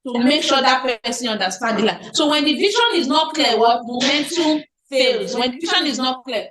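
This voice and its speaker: A woman's voice: high-pitched, expressive, normal speed, crowd noise. A woman with a Nigerian accent leading an online training, repeating points and answering her own questions. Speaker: team leader. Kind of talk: motivational business training. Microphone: online meeting call. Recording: echoey room on a call.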